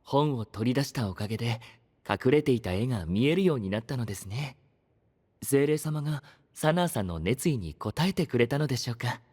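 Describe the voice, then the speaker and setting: A man voicing a gentle, polite, composed young gentleman knight in an anime-style voice drama, soft-spoken and formal. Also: mid-range voice, animated, brisk, slightly rough, no room sound. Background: background music.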